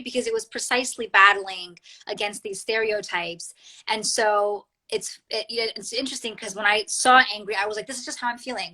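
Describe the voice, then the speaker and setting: A woman co-host interviews a guest, asking long questions padded with soft hedges. Her voice is bright and forward, at a middle pitch. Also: poor audio. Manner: thoughtful, hedging questions. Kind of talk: conversational interview.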